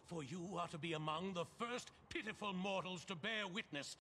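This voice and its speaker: A man's voice: high-pitched, normal speed, sharp tone, slight background noise. An actor playing a deranged, grandiose tycoon who believes he is Zeus, speaking in bombastic, archaic theatrical language in a cartoon. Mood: imperious. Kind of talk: animated superhero series acting.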